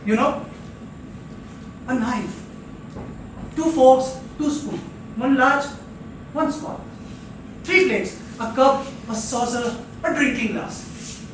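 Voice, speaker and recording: An actor playing a lonely, restless drifter who rambles in a theatrical, halting way, circling back over words. The delivery is slow and deliberate, heard from far off in a very echoey theatre with faint music behind. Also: high-pitched, a bit bright, a little hiss or hum. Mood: listing, wistful. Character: lonely, restless, rambling rooming-house drifter baring their life. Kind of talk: live stage play monologue. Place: indoor theatre stage.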